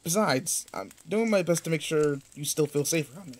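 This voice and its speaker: A man acting in an audio roleplay as a protective, teasing, rough-edged but kind pretend boyfriend, talking intimately and casually. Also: on the high side, animated, brisk, lightly acted. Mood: playful, relaxed.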